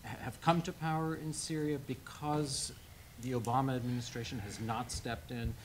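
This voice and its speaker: A man's voice: medium pitch, fairly even intonation, moderate pace, slight background noise. A man moderating a panel discussion who asks long, rambling questions with hesitations.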